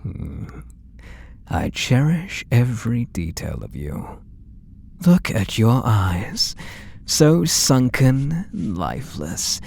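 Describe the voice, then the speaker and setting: A British man playing an obsessive, possessive artist in love, speaking softly and breathily with tender menace in an ASMR roleplay. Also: mid-range voice, big pitch swings, full character voice. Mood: adoring, intimate. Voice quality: soft and breathy, often dropping to whispers. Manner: tender, slow, menacingly intimate.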